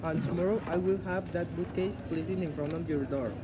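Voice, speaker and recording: A watchful, businesslike wartime man acted by a man in a stage reading. His brisk voice is high, in a muffled recording with loud noise. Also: close to an everyday voice. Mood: urgent, businesslike. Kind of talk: amateur stage dramatic reading.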